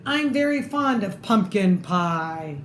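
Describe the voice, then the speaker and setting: A woman's voice: medium pitch, lively intonation, slow pace. A woman voicing a grumpy, possessive man from a children's storybook in a curt, exclamatory way. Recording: echoey room with faint noise.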